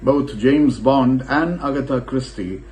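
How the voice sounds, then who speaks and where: A man reading a scripted film review as narrator, with expressive, shifting emphasis. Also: mid-range voice, unhurried, poor audio.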